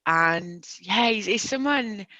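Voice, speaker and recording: A woman with a Geordie accent talks through her career as a podcast guest, friendly and unhurried with the odd "um". Her tone is bright and forceful, recorded dry and close to the mic. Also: mid-range voice, expressive, dry recording, highs cut off. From Newcastle, England. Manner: friendly, explains steadily with fillers. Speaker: recruitment consultant guest. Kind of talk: casual podcast interview.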